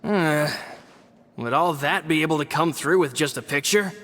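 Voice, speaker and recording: A man playing a loyal, courteous henchman in a video game, speaking politely and deferentially with a gravelly edge. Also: on the high side, moderate pace, a bit bright, a little hiss or hum, close to an everyday voice. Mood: doubtful. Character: loyal, courteous henchman, dutiful to his lady boss.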